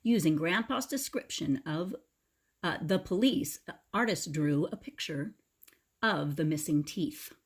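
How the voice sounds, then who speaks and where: Slow, expressive woman reading a storybook. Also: deep voice, husky. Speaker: read-aloud storyteller for young children. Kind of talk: expressive storybook narration with chatty asides.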